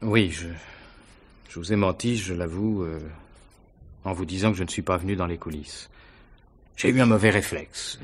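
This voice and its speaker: An actor playing a courteous, evasive theatre gentleman under suspicion in a French radio mystery play, speaking in a measured way. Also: low-pitched, husky. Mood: sheepish, defensive. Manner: courteous, measured, slightly defensive.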